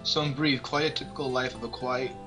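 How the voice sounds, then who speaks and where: A man reads game narration aloud in a casual amateur let's-play. His voice sits at an average male pitch. Music plays clearly behind him. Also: fairly even intonation, a little fast. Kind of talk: casual read-aloud of visual novel narration.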